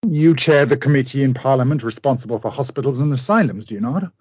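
A man acts out a driven scientist in a radio drama. His mid-range voice is rough and husky, with intense, grandiose, formal delivery. It is recorded very dry. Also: medium pitch, fast, a little mellow, dry recording, lo-fi sound, lightly acted. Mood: fervent, persuasive. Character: obsessive, idealistic male scientist with a vengeful streak. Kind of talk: radio play acting.

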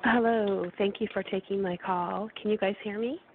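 Woman caller, hoarse and sick, gravelly low voice, earnest and grateful, tinny phone line. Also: fairly even intonation, normal speed, slight background noise. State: hoarse, sick and losing her voice.

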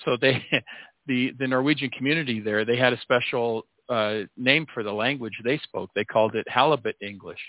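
Man in his sixties, interview guest, halting and rambling delivery, tinny phone line. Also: mid-range voice, no echo. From United States. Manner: rambling, many restarts and fillers. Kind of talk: casual interview chat.

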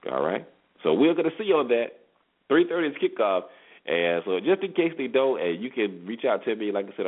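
A man with a slightly low voice hosts a sports talk show on his own, heard through a thin, phone-like connection. He talks informally through his analysis and repeats phrases to drive points home. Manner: emphatic repetition of phrases. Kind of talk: informal solo sports commentary. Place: solo internet radio show. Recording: muffled phone-like internet radio audio.

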